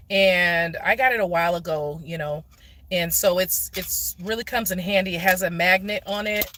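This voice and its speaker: Bright-voiced woman chatting casually on livestream. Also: on the low side. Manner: informal, explanatory, addresses viewers directly. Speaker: vlogger answering questions on a livestream. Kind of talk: casual impromptu livestream chat. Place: inside a parked car. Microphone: phone, handheld or propped nearby.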